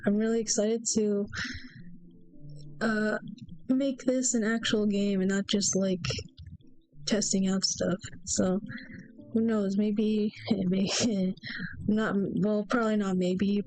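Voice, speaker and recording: A woman's voice: medium pitch, fairly even intonation, takes it slowly, pauses often, a little mellow, poor audio. A young woman streaming her solo game development, chatting casually with lots of fillers and trailing thoughts, her nose stuffy and throat sore. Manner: rambling, hesitant, many fillers. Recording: faint music behind.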